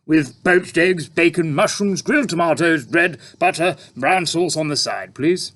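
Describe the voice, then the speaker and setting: A courteous old vagabond from a storybook, voiced by a male reader. He drawls slowly and politely, pitched somewhat above the reader's natural voice. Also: a bit bright. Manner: slow, courteous, genteel.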